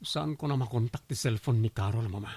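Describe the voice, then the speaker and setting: A man's voice: medium pitch, fairly quick, a little mellow, husky. A man playing an evasive, weak-willed young groom in a radio drama. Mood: remorseful.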